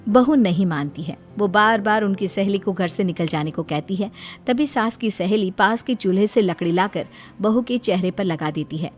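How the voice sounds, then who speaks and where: A woman's voice: mid-range voice, expressive, moderate pace, few pauses. A woman narrating a scripted dramatic story. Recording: music under the voice.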